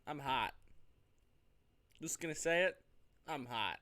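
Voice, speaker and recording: A man's voice: mid-range voice, animated, slow, bright, forceful tone. A man streaming a game, reading its narration aloud expressively, with occasional stumbles and self-corrections. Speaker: gaming streamer doing a playthrough. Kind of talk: reading visual novel narration aloud with off-the-cuff reactions.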